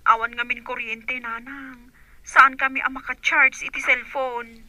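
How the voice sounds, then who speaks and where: Sharp, high Ilocano daughter in distress. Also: female voice, flat delivery, measured pace, no echo, full character voice. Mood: urgent. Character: weary grown daughter fleeing a war abroad. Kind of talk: Filipino radio drama acting.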